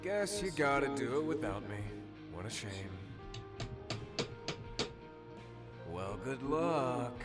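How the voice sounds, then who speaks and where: A man's voice: medium pitch, sing-song, normal speed, close to an everyday voice. An actor playing a jaded, sardonic young male superhero in a visual novel, with a dry, grumbling, sarcastic delivery. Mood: flippant, dry. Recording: music playing loudly behind the voice.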